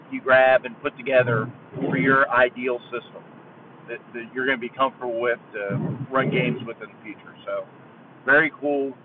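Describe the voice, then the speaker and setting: A man leaving a friendly, appreciative casual voice message for a podcast, over a phone-like connection. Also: mid-range voice. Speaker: podcast caller. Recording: phone-like, distant, noisy with car sounds. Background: vehicle noise.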